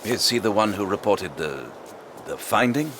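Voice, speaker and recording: A man's voice: lowish voice, lively intonation, natural voice. An actor playing a male Scotland Yard detective in an audio drama, controlled, commanding and reassuring. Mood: grave. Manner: brisk orders, reassuring, controlled. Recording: clear outdoor vehicle ambience and noise.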